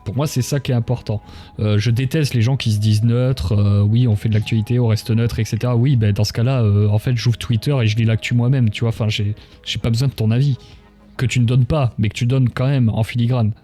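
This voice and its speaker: A man's voice: medium pitch, fairly even intonation, fairly quick, dark tone. A man talking casually on a livestream, giving his opinions in a blunt, emphatic, colloquial manner. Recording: music behind the voice. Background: music.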